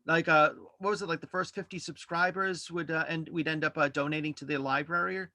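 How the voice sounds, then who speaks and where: Bright, halting man with a dry close sound. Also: on the high side, steady tone, dry recording. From Worcester, United States. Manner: halting, rambling, self-correcting. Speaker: podcast host and guest. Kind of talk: casual interview chat. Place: virtual studio online call.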